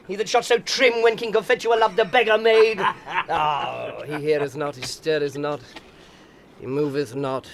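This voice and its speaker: An actor playing a bawdy, playful young gallant in a Shakespearean audio play, teasing theatrically through the verse. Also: male voice, very high voice, a bit bright, a little hiss or hum, heavily acted. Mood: mocking, exuberant, then mock mourning. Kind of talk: Shakespearean radio play acting.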